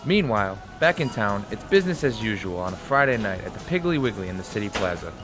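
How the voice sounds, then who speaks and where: A man's voice: fairly low pitch, very animated, fast. A man reading scripted dramatic narration as the narrator of a radio play. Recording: music behind the voice.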